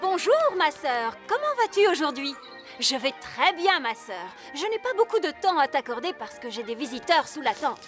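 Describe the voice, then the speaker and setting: A woman's voice: high voice, big pitch swings, normal speed, a bit bright, faint music behind. A woman voicing a busy, cheerful rabbit shopkeeper in a cartoon, with a sing-song, exclamatory manner.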